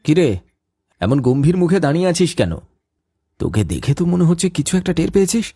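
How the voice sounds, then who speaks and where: A man's voice: on the high side, very animated, fairly quick, music in the background. A man voicing a skeptical young village fisherman in a cartoon, dismissive and mocking. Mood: scoffing. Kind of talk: cartoon voice acting.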